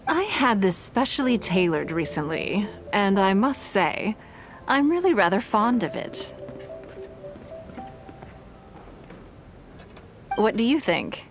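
A woman voicing a poised, elegant noblewoman leader in a video game, composed, gracious and measured. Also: medium pitch, sing-song. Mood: warm. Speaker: game character.

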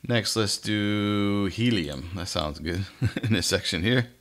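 A man hosting a casual software tutorial, explaining each step in an informal, folksy way. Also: fairly low pitch, slow. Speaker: tutorial host.

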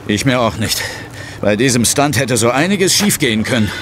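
An actor playing a resolute ghost hunter who tells his own adventure in a measured, suspenseful audio drama style. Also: mid-range voice, expressive, background noise, close to an everyday voice. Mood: tense. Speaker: first-person narrator and lead character. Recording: loud dramatic music and effects behind.

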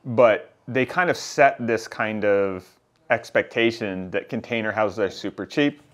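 A man's voice: fairly low pitch, a little slow. A middle-aged man hosting a casual interview in an office meeting room, summarizing and checking points as he goes. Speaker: channel host interviewing a builder.

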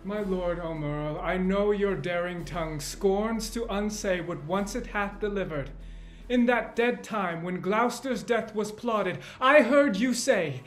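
An actor playing a bold, formal courtier making accusations in a declamatory Shakespearean reading. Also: male voice, high voice.

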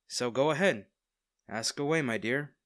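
A smug villain man, acted by a man in an intimate audio drama. He has a mid-pitched voice and lingers over coy, teasing pauses, hiding his fondness. The sound is dry and close. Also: a bit bright, dry recording, close to an everyday voice. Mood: coy, indulgent. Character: smug, teasing villain man, secretly fond of captive hero. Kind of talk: intimate scripted audio roleplay.

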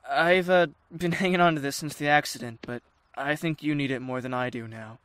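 A performer acts out a wounded, defiant teenage boy in a zombie apocalypse drama. The voice is bright and tense, with an earnest, insistent delivery that sounds dramatic and raw. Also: mid-range voice, fairly even intonation, brisk, clear, resonant tone, lightly acted. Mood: gentle, fond. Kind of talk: dramatic audio acting for visual-novel fan series.

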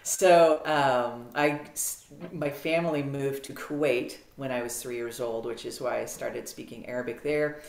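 A woman's voice: extremely low pitch, unhurried, hardly any pauses, a little hiss or hum. Woman telling her story on a video call. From United States. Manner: animated, clear, frequent ums. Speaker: podcast guest. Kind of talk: casual interview storytelling. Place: home office, video call. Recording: roomy video-call audio.

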